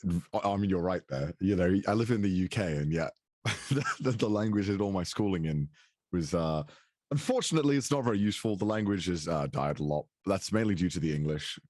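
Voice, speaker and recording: A young man with a very deep voice that still rises and falls a lot. He talks loosely, laughing and cursing. The sound is close and dry, with no room echo. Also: lively intonation, a little fast, a little mellow. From North Wales. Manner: laughs often, swears casually, trails off and restarts. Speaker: guest. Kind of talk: casual podcast chat.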